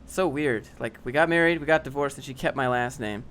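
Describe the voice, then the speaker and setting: A man's voice: medium pitch, fairly quick, a bit bright, a little hiss or hum. A young man casually reading and answering chat on a livestream, dry and sarcastic, often rambling.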